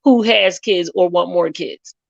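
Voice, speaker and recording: Female panelist, mid-pitched with heavy vocal fry, blunt casual talk, slightly lo-fi sound. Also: unhurried, no room sound. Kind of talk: casual opinionated discussion.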